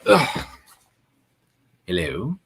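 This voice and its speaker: A man's voice: sits low, huge pitch swings, extremely slow, vocal fry, fairly bright tone, slight background noise. A man, co-hosting a gaming stream in casual, sweary, joking banter. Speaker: co-host of a gaming radio stream.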